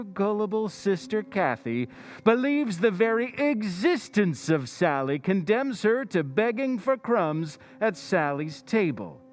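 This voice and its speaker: An actor playing the Whistler, an all-knowing male narrator who taunts a jealous woman in a radio drama. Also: high-pitched. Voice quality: insinuating, taunting.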